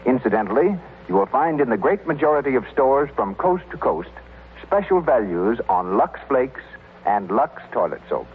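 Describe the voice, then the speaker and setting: A man's voice: mid-range voice, big pitch swings, normal speed, breathy edge, narrow, tinny audio. An American man working as a 1930s radio announcer, reading a script in a formal, polished way. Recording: thin, faintly hissy 1930s radio recording.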